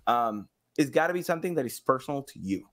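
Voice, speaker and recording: A man in his thirties tells stories in a casual podcast chat. His pitch sits in the middle but moves about a great deal, and he has a playful, joking manner. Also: fast. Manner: joking, expressive, hands-on storyteller. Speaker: podcast guest, game sound designer. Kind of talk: casual chat, telling anecdotes.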